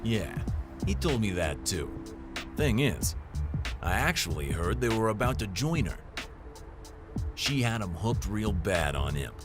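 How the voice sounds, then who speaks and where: An actor playing a chatty, sly street informant in an audio drama, casual and insinuating. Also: male voice, fairly low pitch, sing-song, unhurried, pauses often, over music. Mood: sly, confiding.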